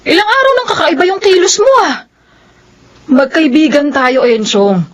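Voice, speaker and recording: A woman's voice: high-pitched, sing-song, normal speed. A woman with a Filipino accent acting an outspoken young woman who teases friends and bristles easily in a radio drama. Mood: alarmed, angry.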